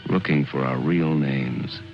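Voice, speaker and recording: Solemn philosophical film narrator; gravelly bass, dull vintage soundtrack. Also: male voice, slow pace, exaggerated voice. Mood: solemn, brooding. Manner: solemn, poetic delivery. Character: brooding, philosophical male narrator of a bleak war film. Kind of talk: scripted 1950s film narration. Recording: dull old film soundtrack under music.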